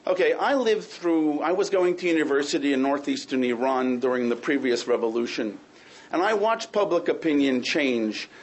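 A man's voice: on the high side, moderate pace, poor audio. A man speaking as a panel guest in a heated debate, insistent and pushing to be heard.

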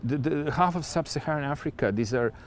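A man giving a reflective commentary, pausing often to search for his words. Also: on the high side, a little hiss or hum.

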